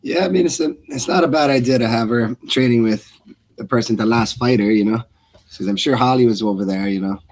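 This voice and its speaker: Quick husky man chatting about fights. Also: mid-range voice, darkish tone, low-quality recording. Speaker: co-commentator. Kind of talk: casual sports chat.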